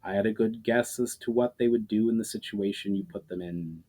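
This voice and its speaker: A man's voice: fairly low pitch, brisk, warm tone, lightly acted. A man voicing an amused, self-assured warrior who taunts his foe in a fantasy story reading. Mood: amused, taunting. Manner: mocking, amused.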